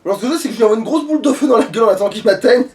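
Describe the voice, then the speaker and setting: Male gamer, let's play style. Rapid, crude and slangy delivery, recorded a little away from the mic. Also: very high-pitched, a little mellow, far from the mic. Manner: crude, slangy, swears often. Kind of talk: casual gaming chat while playing.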